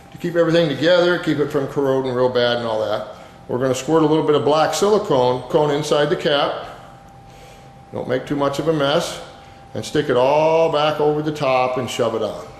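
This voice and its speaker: In a very echoey, reverberant space with some background noise, a man walks through a hands-on how-to. He is an instructor with a plain-spoken, informal manner, going step by step at a mid-range pitch.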